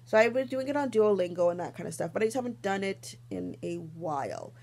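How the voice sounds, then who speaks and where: A woman's voice: mid-range voice, sing-song, brisk, creaky, darkish tone. A young adult woman hosting a podcast, rambling casually with many ums and little laughs. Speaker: podcast host. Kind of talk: casual chat.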